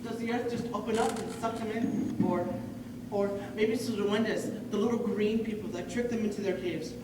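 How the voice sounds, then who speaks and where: A young woman playing an excitable, imaginative village boy in a high school stage play, telling things with wide-eyed drama and some Spanish words. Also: moderate pace, lightly acted. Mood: worried curiosity. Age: young adult. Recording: echoey hall from far off, noisy.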